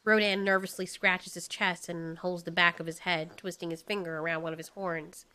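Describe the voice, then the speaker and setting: A woman narrating a scripted story in an expressive, storytelling style. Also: lowish voice, normal speed.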